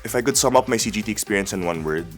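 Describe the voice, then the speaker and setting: A young man in his twenties, a graduate student speaking calmly and deliberately in an interview testimonial. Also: on the low side, soft background music.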